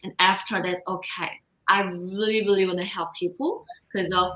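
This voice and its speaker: Bright Korean-accented woman coach. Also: medium pitch, roomy sound, rough recording. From South Korea. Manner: expressive, laughs often, halting non-native phrasing. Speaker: confidence coach, guest. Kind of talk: casual interview storytelling.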